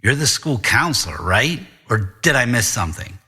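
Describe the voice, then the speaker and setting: A man's voice: mid-range voice, sing-song, normal speed, sharp tone, breathy edge, heavily acted. A man voicing a bewildered, wry teenage boy who is hesitant but politely insistent, in an audiobook story. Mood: puzzled, polite. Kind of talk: audiobook character dialogue.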